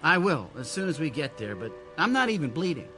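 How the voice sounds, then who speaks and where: A tormented young man in a radio play. His voice sits fairly high and jumps about in pitch, with a forceful, tense edge. Faint music runs underneath. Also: sing-song, fairly quick. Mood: curt, strained. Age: young adult. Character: troubled, tormented young man fighting murderous urges. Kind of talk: radio drama acting.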